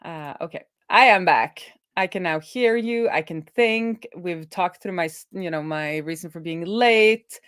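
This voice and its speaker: Woman thinking aloud on a low-pitched livestream. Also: a little slow, few pauses, a bit bright, clean tone, dry recording, clean studio sound. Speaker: livestream co-host and web developer. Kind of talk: casual livestream chat while working through code.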